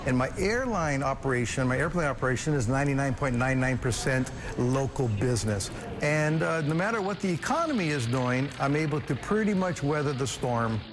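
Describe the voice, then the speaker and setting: A middle-aged man from Hawaii who owns an aviation business, answering interview questions in a relaxed, conversational way with frequent fillers. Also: mid-range voice, brisk, over music. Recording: noisy background in interview audio.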